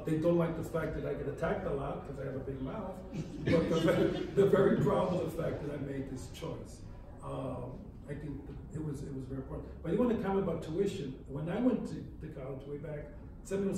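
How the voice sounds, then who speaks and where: Fast, blunt older man in echoey hall. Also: on the high side, far from the mic, background noise. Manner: blunt, outspoken, fast-talking. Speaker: panelist, museum founder. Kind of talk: panel discussion. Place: large hall with brick walls. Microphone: far room mic.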